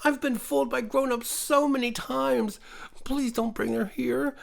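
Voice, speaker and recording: Small scared creature, high whiny pleading, gravelly, panicky. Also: male voice, fairly even intonation, a little slow, heavily acted. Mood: pleading, frightened.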